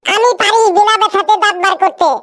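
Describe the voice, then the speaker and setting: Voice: extremely high pitch, steady tone, fast, fairly bright tone. A performer voicing a cheeky, literal-minded, childlike cartoon patient with an earnest way of talking. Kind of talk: comedy cartoon skit dialogue.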